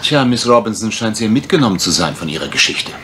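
A polite gentleman investigator in a vintage mystery drama. His low voice moves with lively intonation as he probes formally, under clear recording noise. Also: quick pace. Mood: courteous. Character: courteous gentleman investigator, measured and patient. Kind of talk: 1980s German mystery radio play acting. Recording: noisy old radio drama recording.

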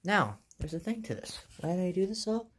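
A man's voice: high-pitched, very animated, brisk, fairly bright tone. A young man with an American accent casually narrates a show-and-tell in short, offhand phrases, recorded on a handheld phone in a bedroom. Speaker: hobbyist video maker. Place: small carpeted bedroom, indoors. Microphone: handheld phone behind the camera.

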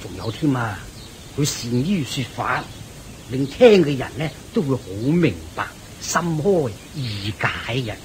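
A man's voice: medium pitch, sing-song, measured pace, a little hiss or hum. A kindly older Buddhist master in a radio drama, devout and patient. His Hong Kong Cantonese speech rises and falls very animatedly, with music playing behind it. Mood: kindly, instructive.

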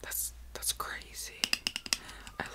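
Whispering young woman doing ASMR. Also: takes it slowly. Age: about 20. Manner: whispers almost throughout; excited, giggly, repeats words softly. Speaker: ASMR video creator and host. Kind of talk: ASMR whispering, casual mukbang chat.

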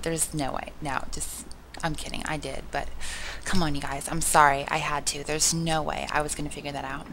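A young woman with a sore, hoarse throat, giving casual, chatty and self-mocking commentary on a video game, slipping into soft whispery asides. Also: sits low, background noise. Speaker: gameplay commentator. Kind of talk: casual let's-play commentary.

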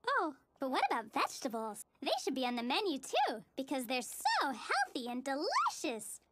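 A woman voicing a relentlessly cheerful, naive little girl in a children's cartoon, squeaky, bubbly and gushing, with a sing-song delivery. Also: huge pitch swings, normal speed, heavy background noise. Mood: earnest. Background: playful children's music.

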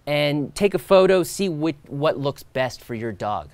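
A man in his thirties presenting a product outdoors, explaining in a relaxed way with the odd filler and restart. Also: a little high, measured pace, vocal fry, dry recording. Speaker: company co-founder presenting product. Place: outdoors by a stone wall.

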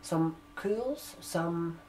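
A man in his twenties doing an ASMR role-play as a barber shop receptionist, talking to a customer in a halting, repetitive way. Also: a little high, a little mellow, a little hiss or hum. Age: 20s. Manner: slow, halting, repeating words while typing. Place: room with sofas, indoors. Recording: roomy echo, keyboard typing. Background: computer keyboard typing.

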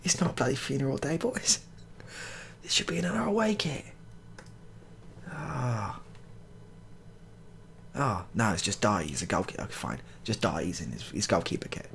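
Male gaming creator, casual and mock-exasperated, animated intonation with a gravelly edge, muttered repetitions. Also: mid-range voice, normal speed, long pauses, slight background noise. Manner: mock-exasperated, muttering, mild swearing, repeats phrases. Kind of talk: casual joking game playthrough commentary.

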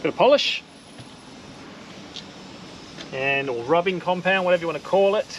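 A man's voice: high-pitched, quick pace, muffled recording. A man in his forties with an Australian accent, casually explaining how he polishes his boat hull in an outdoor boatyard. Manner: relaxed, matter-of-fact explaining while working. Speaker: boat owner doing his own maintenance. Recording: faint outdoor noise.

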